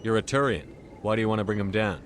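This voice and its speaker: A man's voice: on the low side, fast talker, lightly acted. A man voicing a direct, businesslike human military commander in a video game, with ambient noise behind him. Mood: firm, brisk.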